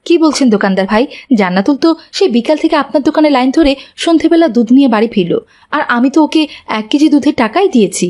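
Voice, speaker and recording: A woman acts as a stern, caring mother in a children's cartoon. The voice sits fairly high and moves quickly. The manner is scolding and preachy. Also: lively intonation, a little mellow. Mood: puzzled. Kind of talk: children's moral cartoon dialogue.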